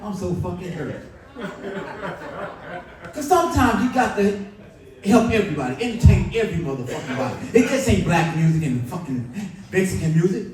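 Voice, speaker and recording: A man in his sixties doing loud, profane, rambling stand-up comedy at a bar and grill. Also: sits high, big pitch swings, moderate pace, pauses often, crowd noise. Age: 60s. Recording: echoey club recorded from far off. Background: audience laughter.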